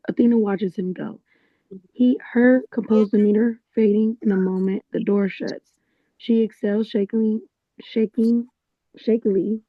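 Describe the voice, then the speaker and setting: A woman's voice: medium pitch, no echo. Female screenplay narrator, mid-range voice, narrow telephone-like recording. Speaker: stage directions narrator. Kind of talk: reading screenplay directions aloud. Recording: thin phone-like dry recording.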